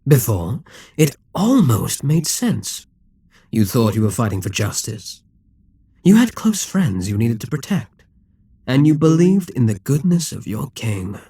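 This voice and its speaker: A man playing a dominant, gloating Demon King in an intimate ASMR roleplay, smug and coaxing, with a breathy, husky voice that often drops toward a whisper. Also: mid-range voice, sing-song, a little slow, warm tone, no echo, studio quality. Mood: probing, taunting. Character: dominant, gloating male Demon King toying with a captive hero.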